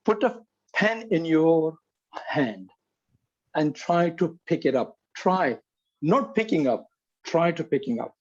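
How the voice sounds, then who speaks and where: A man's voice: on the high side, big pitch swings, takes it slowly, dull audio. Earnest man coaching. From Pakistan. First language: Urdu. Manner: earnest, emphatic, repeats key phrases. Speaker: leadership coach. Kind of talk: motivational coaching in an online session.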